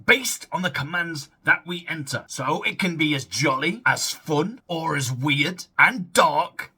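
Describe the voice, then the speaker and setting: A man hosting a gameplay video, commenting theatrically with shouted bursts and sarcastic asides. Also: fairly high pitch, expressive, unhurried, breathy edge. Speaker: gaming video host.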